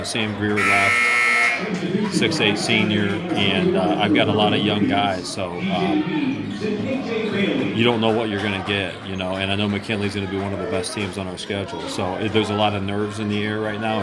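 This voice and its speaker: A middle-aged or older American man, a veteran coach, talking candidly in a pregame interview. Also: fairly low pitch. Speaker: veteran high school basketball coach. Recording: very noisy on-site interview.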